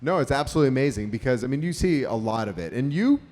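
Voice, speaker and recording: A man's voice: medium pitch, lively intonation, rapid-fire, a little mellow. A man hosting an onstage Q&A, asking upbeat, loosely worded questions. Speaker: show host, interviewer.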